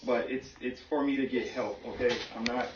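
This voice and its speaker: A man with a mid-pitched voice talks earnestly and haltingly, explaining something serious in simple words. He is recorded at a distance in an echoey room, with a slightly muffled sound. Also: steady tone, heavy background noise. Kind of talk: serious personal conversation.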